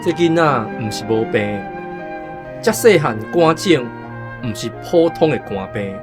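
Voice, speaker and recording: An actor playing a calm village doctor who questions a mother about her child, in a radio drama. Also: mid-range voice, a little slow, over music, close to an everyday voice. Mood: grave. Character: calm middle-aged male village doctor.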